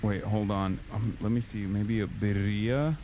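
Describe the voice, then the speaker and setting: A man's voice: lowish voice, steady tone, measured pace, dark tone, a little hiss or hum. A man from Turkey hosting a livestream who chats casually and rambles with lots of restarts while ordering food. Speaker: streamer host.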